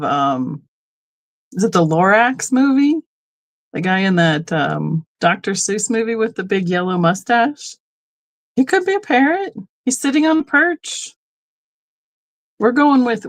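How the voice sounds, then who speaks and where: A middle-aged woman from Colorado runs a live online sale in a relaxed, chatty way. She wanders into friendly asides and fills the gaps with ums. Her pitch sits in an ordinary middle range. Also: a little slow. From Colorado, United States. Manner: chatty, rambling asides, frequent ums and laughs. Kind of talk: casual live-stream selling chat.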